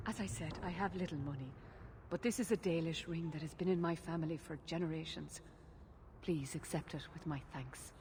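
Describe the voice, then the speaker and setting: A woman's voice: low-pitched, a little fast, a little mellow. An actress playing an earnest, worried mother in a fantasy game, with formal phrasing. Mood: grateful. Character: earnest, worried human mother of little means. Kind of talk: cinematic fantasy game acting. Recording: clear ambient noise behind.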